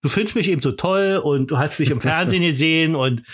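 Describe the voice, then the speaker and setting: A man's voice: on the high side, fast, slightly rough. A man with a Berlin accent hosting a podcast, joking around in boastful, mock-pompous banter full of fillers and restarts. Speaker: podcast host.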